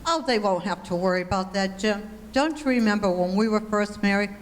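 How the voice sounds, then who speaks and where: Woman as patient, mildly doubtful radio-comedy mother; mid pitch, reverberant hall. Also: brisk, lightly acted. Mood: gentle doubt. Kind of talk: old-time radio comedy acting.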